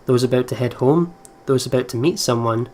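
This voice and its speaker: A man hosting a gaming video, reading story text aloud and thinking out loud in a chatty way. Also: mid-range voice, brisk.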